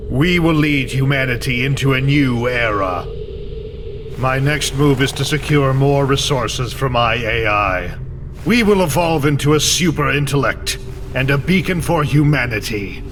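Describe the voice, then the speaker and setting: A man's voice: mid-range voice, moderate pace, slight background noise, lightly acted. A man playing a vengeful, coldly fanatical imprisoned scientist in a video game, speechifying in a grandiose, declamatory way. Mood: grandiose, commanding. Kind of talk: dramatic video game cutscene acting. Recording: dramatic music bed under voice. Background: loud music.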